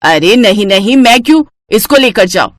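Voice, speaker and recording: A woman's voice: mid-range voice, lively intonation, moderate pace, dry recording, studio quality, close to an everyday voice. A woman voicing a spiteful, grumbling older mother-in-law who nags and accuses in a moral story. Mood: insistent. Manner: nagging, accusing. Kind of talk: animated Hindi moral-story voice acting.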